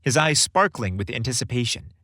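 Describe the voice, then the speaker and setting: A man's voice: mid-range voice, lively intonation, a little fast, sharp tone, no room sound, clean studio sound. A man narrating a scripted holiday story in a dramatic storybook style.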